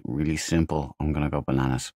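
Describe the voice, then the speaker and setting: A man's voice: a bass voice, level tone, fast talker, mellow, no echo, clean studio sound. A man giving casual let's play commentary, muttering and thinking aloud as he goes. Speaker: gamer.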